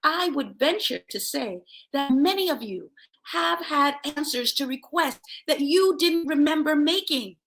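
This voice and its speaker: A woman's voice: sits high, animated, a little slow, fairly bright tone, poor audio. A woman hosting a self-help show, giving a motivational talk to viewers with personal stories, in an emphatic way that sometimes drops toward a whisper.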